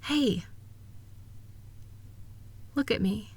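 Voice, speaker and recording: Casting: female actor for a tender, reassuring wife in a comfort audio roleplay; soothing, slow delivery, very dry intimate sound. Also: on the high side, slightly rough, no echo, exaggerated voice. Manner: gentle, soothing, affectionate. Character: loving, reassuring wife comforting her spouse.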